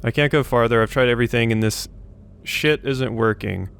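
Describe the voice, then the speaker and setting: A man's voice: medium pitch, a little fast, creaky, fairly bright tone, clean tone. A man doing casual gameplay commentary, muttering to himself as he thinks aloud in a self-deprecating way. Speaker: let's play host.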